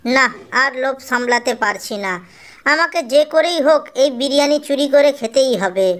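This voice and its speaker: A woman voices a sneaky female cuckoo in a cartoon. The voice is high, with a gushing, scheming delivery full of greed. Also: expressive, normal speed. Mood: greedy delight. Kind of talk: animated cartoon dialogue.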